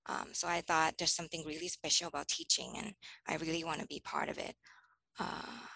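A woman's voice: deep voice, little pitch movement, fairly quick, creaky, sharp tone, no echo. A woman in her thirties from Thailand, a teacher answering interview questions thoughtfully, with frequent ums and restarts. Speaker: teacher guest.

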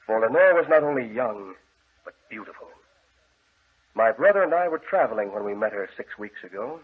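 An actor playing a grave, controlling gentleman in a 1940s radio horror drama, speaking with formal, solemn command and a husky voice. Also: lowish voice, lively intonation, fairly quick. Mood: solemn, sombre. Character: grave, controlling gentleman guarding his deranged brother. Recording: tinny, band-limited old radio recording.

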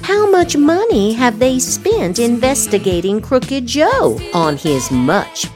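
A woman's voice: a little high, big pitch swings, slow. A woman hosting a show, reading political social media posts aloud with theatrical, emphatic delivery over music. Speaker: podcast host. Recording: music behind the voice.

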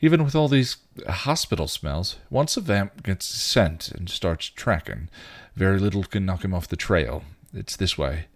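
Man playing a gruff, low-voiced streetwise vampire. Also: expressive, measured pace, hardly any pauses, full character voice. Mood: matter-of-fact. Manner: clipped, slangy, growling.

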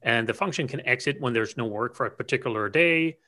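A man's voice: medium pitch, brisk, bright, forceful tone. A man co-hosting a casual technical podcast, explaining concepts at length with frequent restarts and fillers. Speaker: podcast co-host.

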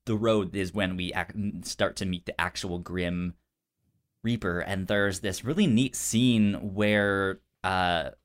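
A man's voice: deep voice, takes it slowly. A man co-hosting a casual film discussion, stuttering often as he repeats sounds and syllables. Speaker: podcast co-host.

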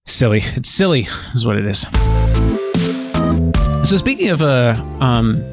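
A man co-hosting a podcast, chatting casually in a halting, self-correcting way. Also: medium pitch, very animated, music in the background. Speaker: podcast co-host.

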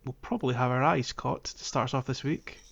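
Casting: male podcast co-host, mid-pitched, hesitant and stumbling, chatty recap style, slightly lo-fi sound. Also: creaky. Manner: halting, hesitant delivery with stammered false starts. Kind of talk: casual game recap chat.

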